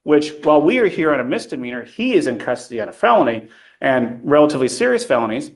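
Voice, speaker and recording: A man's voice: mid-range voice, sing-song, fast talker, poor audio. A defense attorney, a man, arguing before the bench in a courtroom. He talks in formal legal terms and keeps pressing his point, with frequent ums between phrases.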